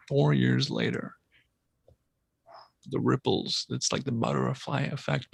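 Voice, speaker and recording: A man's voice: medium pitch, gravelly, breathy edge, no echo. A man co-hosting a podcast, musing at length about synchronicities in casual talk. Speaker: podcast co-host.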